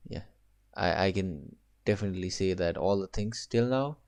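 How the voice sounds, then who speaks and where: A man's voice: deep voice, lively intonation, moderate pace, creaky, darkish tone. A man from Nepal reacting casually to a video, exclaiming and asking curious questions.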